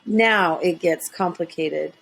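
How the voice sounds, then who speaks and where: A woman voicing an anxious, earnest elf who tells a children's story with dramatic, drawn-out emphasis. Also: lowish voice, creaky, breathy edge, full character voice, rough recording. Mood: pondering.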